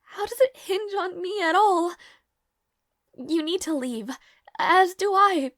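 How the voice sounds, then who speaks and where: Woman acting a high-pitched, anguished young maid. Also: expressive, a bit bright, no echo, studio quality, full character voice. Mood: urgent, pleading. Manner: halting, stammering, breathy pleading. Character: young maid, timid, devoted, anguished, dutiful daughter. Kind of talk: dramatic audio roleplay acting.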